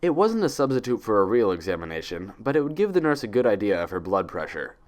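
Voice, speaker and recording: Low-pitched man narrating a story. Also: very animated, fast talker. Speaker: fan fiction reader, narrator.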